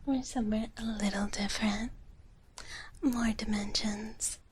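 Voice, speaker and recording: A woman hosting an ASMR livestream in a soft, breathy whisper, with a playful manner of greeting and chatting. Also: fairly high pitch, steady tone, unhurried, a little hiss or hum. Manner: playful, refers to self in third person. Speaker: livestream host, virtual streamer.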